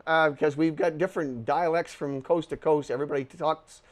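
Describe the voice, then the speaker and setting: A woman hosting a TV interview, asking questions in a rambling, halting way with many restarts. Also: low-pitched, steady tone. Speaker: talk show host. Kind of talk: casual interview chat. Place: local TV studio.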